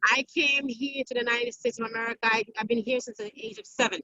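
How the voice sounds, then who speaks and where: A woman's voice: fairly high pitch, steady tone, quick pace, bright, forceful tone, dry recording. A woman born in Haiti, interviewed as an activist, telling her story emphatically with many fillers and restarts over a video call. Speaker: guest activist. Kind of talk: interview recounting events. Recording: dull lo-fi dry sound.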